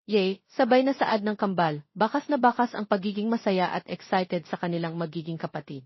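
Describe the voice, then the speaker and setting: A Filipino woman narrates a romance audiobook from a script. Her voice sits at a middle pitch. The recording is very dry and a little dull. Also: a little fast, no room sound.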